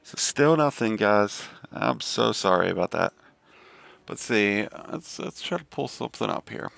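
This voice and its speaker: A man from the Southern US with a deep voice and a Southern accent, chatting casually on a game live stream. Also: lively intonation, unhurried, gravelly, fairly bright tone, no room sound. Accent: Southern American. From Southern United States. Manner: laid-back, rambling with many fillers.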